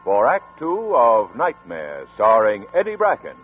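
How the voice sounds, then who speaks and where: A man's voice: lowish voice, measured pace, breathy edge, faint music behind. A man giving polished, formal announcer introductions for a 1940s radio show. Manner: polished, lively announcer cadence. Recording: tinny lo-fi 1940s radio broadcast.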